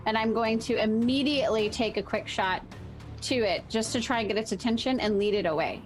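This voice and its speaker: A woman's voice: fairly high pitch, fairly quick. A woman playing a tabletop role-playing game, describing her character's moves. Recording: loud music under the voice.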